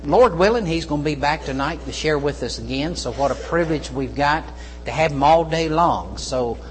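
Higher-voiced pastor in a reverberant hall. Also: male voice, expressive, normal speed, slight background noise. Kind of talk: introducing a guest speaker. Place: church service.

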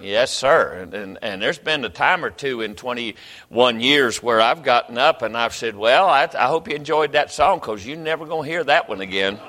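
A man's voice: medium pitch, animated, moderate pace, bright, forceful tone. Folksy preacher man in a ringing hall. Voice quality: folksy, rambling with many restarts. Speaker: pastor. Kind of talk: sermon. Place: church sanctuary.

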